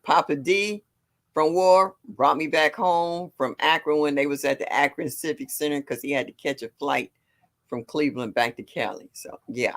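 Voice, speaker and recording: Older lady w/ a real deep voice, chatty, laughs a lot, lively bright tone that swings up and down. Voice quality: deep low voice. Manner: chatty, laughs often, greets viewers warmly. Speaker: livestream host. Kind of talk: casual chat reading viewer comments.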